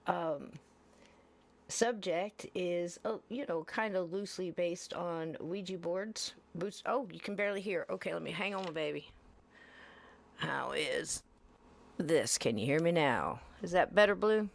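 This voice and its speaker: A woman hosting a podcast live stream, chatting in a laid-back, easygoing way and keeping things low and mellow. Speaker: podcast host. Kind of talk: casual live-stream chat.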